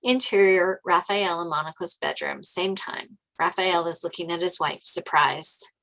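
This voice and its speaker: A woman's voice: on the low side, moderate pace, a bit bright, poor audio. A woman narrating stage directions from a screenplay at a table read.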